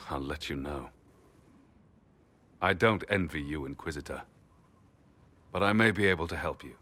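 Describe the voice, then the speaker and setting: An actor playing a world-weary, determined champion warrior in a video game, speaking gravely. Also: male voice, a bass voice, moderate pace, pushed and bright, heavily acted. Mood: weary, reflective.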